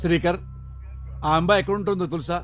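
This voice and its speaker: A man's voice: high-pitched, fairly even intonation, quick pace, close to an everyday voice. An actor playing a sceptical senior male officer in a film drama, questioning in a probing, sarcastic manner. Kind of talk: film dialogue acting.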